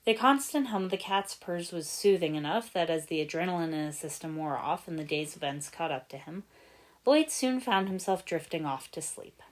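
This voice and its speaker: A woman narrating a story from a script in an expressive storytelling style. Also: lowish voice, normal speed. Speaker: fan fiction narrator.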